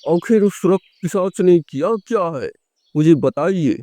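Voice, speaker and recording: A man acting a slow, mellow, grave villager. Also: sits high, animated, no echo. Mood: grave concern. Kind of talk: animated horror story dialogue.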